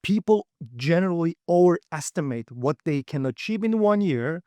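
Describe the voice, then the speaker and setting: Man, English, motivational presenter, blunt, slightly high voice, dry close sound. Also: lively intonation, normal speed, mellow, no room sound. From Uyghur region. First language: Uyghur. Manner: direct, frequent "okay?" and "right?" checks, non-native grammar. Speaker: seminar presenter. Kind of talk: motivational talk.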